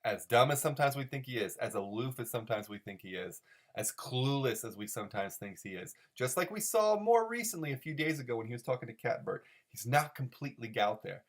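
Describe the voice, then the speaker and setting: A man's voice: mid-range voice, expressive, a little fast. A man hosting a solo commentary show, talking off the cuff in a mock-serious, overanalytical way and repeating phrases for emphasis. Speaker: host of a comic-strip commentary show. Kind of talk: rambling, unscripted solo commentary.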